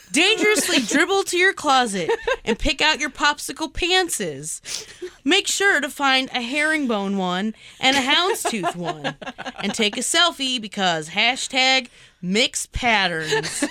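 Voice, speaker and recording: High, bright-voiced playful woman in her thirties. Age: 30s. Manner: playful, laughs through her words. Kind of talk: casual banter, reading a silly story aloud.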